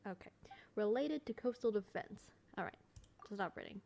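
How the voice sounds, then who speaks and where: A woman gaming creator giving casual playthrough commentary and reading on-screen text aloud, with a creaky voice. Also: medium pitch, animated, normal speed, noisy background.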